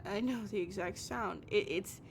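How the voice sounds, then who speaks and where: A woman playing a heartbroken, obsessive young woman in an intimate audio roleplay, pleading over the phone in halting, stammered, repeated phrases. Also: gravelly, natural voice. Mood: anguished, confessing. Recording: noisy recording with low rumble.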